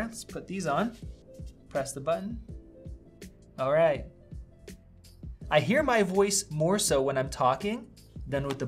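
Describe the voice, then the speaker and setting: Upbeat man reviewing headphones, faint music. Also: on the high side. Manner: upbeat, conversational, thinking aloud while testing. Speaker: tech reviewer. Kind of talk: casual product review. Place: small indoor studio room with a vent running.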